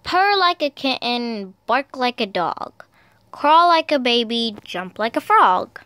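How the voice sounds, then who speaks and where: Slow, high-voiced woman reading to toddlers. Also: very animated, bright, forceful tone, clean tone, no room sound. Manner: sing-song, deliberate, child-directed delivery. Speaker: storyteller, video host. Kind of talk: read-aloud picture books for toddlers.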